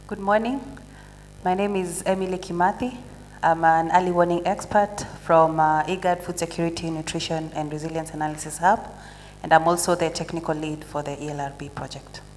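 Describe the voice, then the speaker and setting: A woman's voice: deep voice, fairly even intonation, a little fast, a little mellow, slight background noise. Woman speaking in a reverberant hall. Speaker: early warning expert. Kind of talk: self-introduction at a workshop. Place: conference hall.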